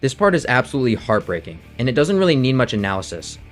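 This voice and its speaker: A man's voice: mid-range voice, sing-song, fast, over loud music. A man reading a scripted, analytical video essay narration about a game.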